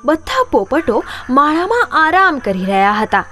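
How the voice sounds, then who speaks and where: Female children's storyteller, high-pitched and very animated, music underneath. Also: a little slow. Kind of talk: expressive scripted storybook narration.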